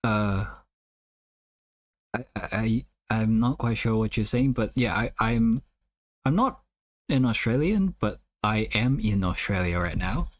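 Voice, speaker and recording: A man casually chatting on a live stream, hesitantly giving feedback on art, often pausing and repeating words as he thinks. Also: lowish voice, animated, no echo.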